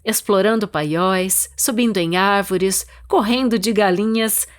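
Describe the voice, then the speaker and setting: A woman with a Brazilian accent reads her own short story as a dramatized literary narration, with deliberate, expressive phrasing. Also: mid-range voice.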